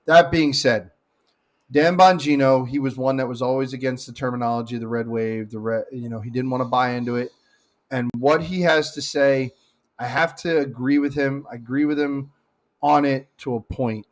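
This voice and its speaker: A man's voice: medium pitch, steady tone, normal speed. Rambling male podcast co-host. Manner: rambling, frequent restarts. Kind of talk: casual political chat.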